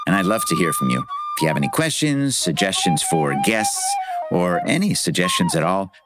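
A man's voice: sits low, huge pitch swings, moderate pace, loud music behind the voice. A man hosting a podcast, interviewing in an engaged, conversational way.

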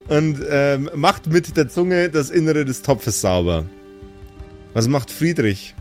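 Slightly high-voiced male game master. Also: takes it slowly, soft background music. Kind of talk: improvised narration of tabletop role-play.

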